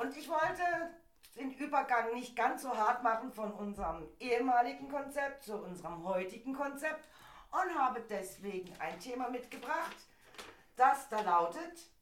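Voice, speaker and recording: A middle-aged woman, slightly hoarse from a cold, chatting in an opinionated way as a podcast co-host. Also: on the high side, expressive, measured pace, roomy sound. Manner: chatty, opinionated, laughs easily. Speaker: podcast co-host presenting a topic. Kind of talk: casual opinion chat.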